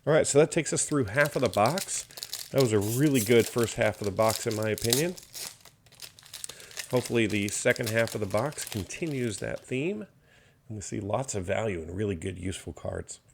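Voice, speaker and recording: Slightly hoarse male box opener, gravelly low voice, calm running card commentary. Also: normal speed, slight background noise. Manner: steady card-by-card listing, mild enthusiasm. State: slightly hoarse, recovering from illness. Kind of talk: unboxing commentary.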